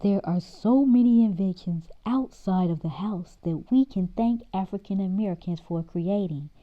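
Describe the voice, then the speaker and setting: A woman's voice: mid-range voice, normal speed, dull audio, natural voice. A woman reading the part of a patient, knowledgeable father who explains things to his children in a storybook. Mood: patient, explaining.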